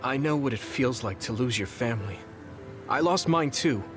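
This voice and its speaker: A man's voice: mid-range voice, lively intonation, normal speed, natural voice. An actor playing an earnest, questioning young male soldier in a video game cutscene. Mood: hurt, angry. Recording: music behind the voice.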